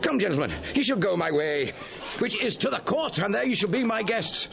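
An actor playing a sociable, gossipy gentleman eyewitness in a Shakespearean audio drama, with an animated, relishing delivery. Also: sits high, fairly quick, gravelly, crowd noise, heavily acted. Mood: chatty, assured. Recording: dull audio with background noise.

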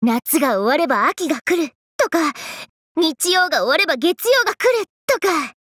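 A woman's voice: very high voice, big pitch swings, normal speed, a bit bright, dry recording, heavily acted. A woman voicing a brash, playful, hyper young woman from an anime game, with cocky, slangy, teasing delivery. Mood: upbeat, encouraging. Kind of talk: Japanese anime game character voice lines.

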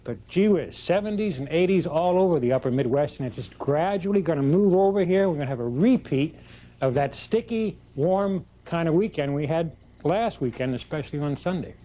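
A man's voice: on the high side, lively intonation, brisk, dark tone. A middle-aged American man giving a casual, folksy weather forecast on television. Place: TV studio. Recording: old TV broadcast with faint noise.

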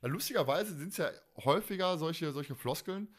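A man in his forties from Germany chatting casually as a podcast host, in an animated, rambling, colloquial way. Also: a little high, normal speed. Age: 40s. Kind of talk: casual chat.

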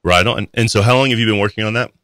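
A man hosting a podcast, asking relaxed, rambling questions of his guest. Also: lowish voice, rapid-fire, dry recording. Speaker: podcast host. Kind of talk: casual interview chat. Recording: dry close sound.

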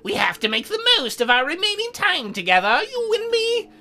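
A woman playing a coy, teasing, bashful young demon girl in a visual novel, with measured delivery. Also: high voice, wildly swooping pitch, bright, forceful tone, exaggerated voice. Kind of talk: visual novel character acting.

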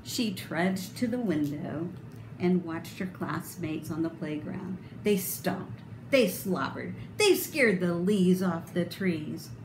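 A woman in her fifties reading aloud to children expressively, with deliberate, dramatic emphasis. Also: lowish voice, lively intonation. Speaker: storybook reader. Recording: roomy, noticeable background noise.

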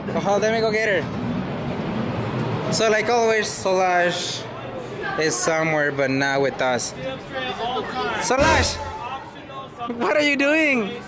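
A man's voice: sits high, lively intonation, takes it slowly, long pauses. A man chatting casually as a father filming a family vlog outdoors. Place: outdoors at an amusement park. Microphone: camera microphone, held while filming. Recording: loud music and crowd noise behind. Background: music, crowd.

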